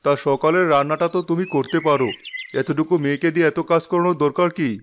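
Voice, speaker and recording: A man's voice: a little high, fairly even intonation, fast talker, a little hiss or hum, close to an everyday voice. A man playing a kind, weary, protective uncle in a cartoon family melodrama. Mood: irritated, sarcastic. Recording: music behind the voice. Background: background music.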